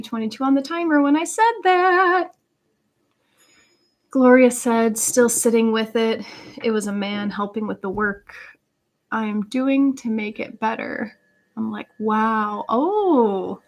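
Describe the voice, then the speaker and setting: A woman hosting a live spiritual card reading, speaking conversationally and earnestly as she guides listeners and reads out messages. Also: a little high. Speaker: live tarot reader and host. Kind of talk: casual livestream chat with card reading.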